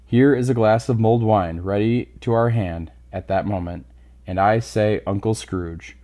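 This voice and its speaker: A man's voice: fairly low pitch, normal speed, mellow, close to an everyday voice. Man playing a hearty, merry nephew. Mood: cheerful. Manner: hearty, toasting. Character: merry, laughing nephew, warm-hearted male party host.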